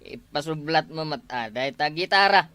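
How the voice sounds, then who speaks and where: A man's voice: a little high, animated, normal speed, sharp tone. A man playing a villager pal in an Ilocano comedy skit. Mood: pleading. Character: villager pal asking to borrow the guitar.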